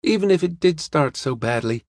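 A man's voice: on the high side, animated, moderate pace, no room sound, studio quality, pitched above the performer's own voice. A man voicing an eager, playful young American woman in an audiobook, with a breezy, informal way of talking. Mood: eager, puzzled.

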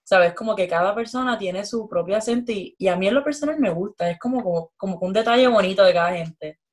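A woman's voice: mid-range voice, quick pace. A young Puerto Rican woman, a guest on a video call, chatting casually with many fillers, heard through a laptop mic at home. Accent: Puerto Rican Spanish. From Puerto Rico. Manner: chatty, lots of "como que". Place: room at home. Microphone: laptop webcam on video call.

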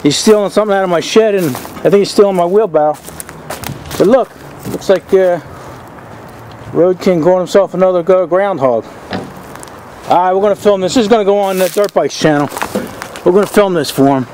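A man's voice: sits high, moderate pace, long pauses. A man filming friends in a backyard, giving a joking, teasing running commentary from behind the camera. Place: outdoor backyard with chainsaws running. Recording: loud chainsaw noise outdoors.

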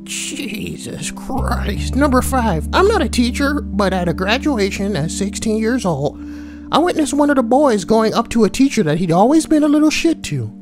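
A woman's voice: on the high side, very animated, normal speed, over music. A woman reading forum posts aloud and adding crude, sweary, joking commentary. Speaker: online narrator and commentator.

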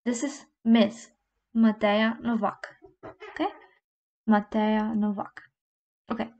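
A young woman, a native Slovene speaker, slowly and patiently teaching a language lesson over a screen recording, repeating phrases and checking in with "okay?". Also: a little high, little pitch movement, a little mellow, dull audio. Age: young adult.

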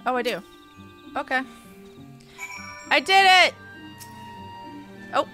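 Female game streamer, higher voice, chatty and casual, light music underneath. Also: animated, slow, bright, forceful tone. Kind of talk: casual gameplay commentary, reading dialogue aloud.

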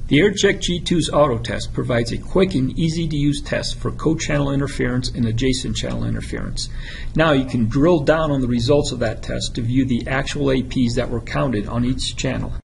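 A man with a mid-pitched, creaky voice narrates a product demonstration. He explains things step by step in a scripted, instructional way. A faint noise sits behind the voice-over. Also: rough recording.

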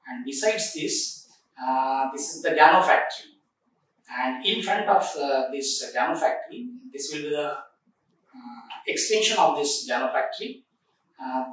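A man in his forties, seated in an office, presenting a factory project in a formal way with many filler pauses. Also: fairly high pitch, bright, forceful tone, slight background noise. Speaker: project coordinator. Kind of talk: corporate site tour presentation. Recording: echoey room, recorded from a distance.

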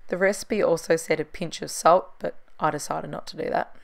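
A woman's voice: deep voice, steady tone, a little fast, gravelly, over music. A woman in her thirties, chatting casually in a voice-over about what she cooked, laughing at her own shortcuts. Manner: chatty, self-deprecating, laughs at herself. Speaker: cooking vlogger.

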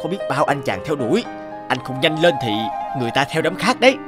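A nagging cartoon buddy. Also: male voice, fairly high pitch, big pitch swings, fast talker, over music. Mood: exasperated urging. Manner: exasperated, coaxing. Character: blunt, nagging male best friend. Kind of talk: animated dubbed drama.

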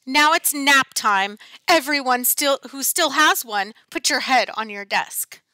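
A woman's voice: sits high, animated, measured pace, pushed and bright, no room sound, exaggerated voice. A woman voicing a monstrous green lady teacher from a storybook, sweetly sinister and theatrical, gleefully menacing.

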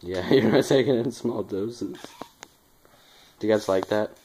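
A teenage boy hosting a home video, teasing his friend with a deep voice and frequent laughter. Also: normal speed, a little mellow, slight background noise. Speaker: channel host. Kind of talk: casual home video chat. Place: dim home room. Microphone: handheld camera close up.

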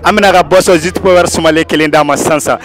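High-pitched young man, music behind. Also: steady tone, quick pace. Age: young adult. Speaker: street interview host. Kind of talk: vox pop game hosting, casual. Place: outdoors in urban courtyards and streets. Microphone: small handheld lapel mic.

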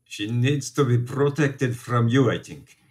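A compassionate Polish immigrant priest, played by a man in a radio play. He is a man of middling pitch who speaks formally and earnestly, pleading for others and refusing to back down. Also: unhurried, darkish tone, natural voice. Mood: wary.

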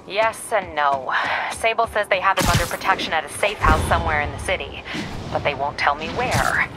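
An actress playing an earnest young reporter and ally in a video game, quick and determined. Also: female voice, lowish voice, over music, natural voice. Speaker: game character.

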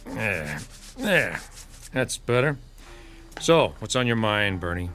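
A man's voice: lowish voice, sing-song, slow, fairly bright tone, heavily acted. An American man playing a cocky, defiant gangster in a radio drama, curt, wisecracking and hard-boiled. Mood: wry, curt. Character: cocky, defiant, tough gangster in fancy shoes.

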